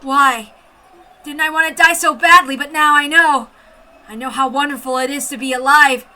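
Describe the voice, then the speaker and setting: A woman voicing a terrified, desperate Japanese soldier man, shouting frantically and melodramatically as she reads a story aloud. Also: high-pitched, fairly even intonation, moderate pace. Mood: desperate, panicked.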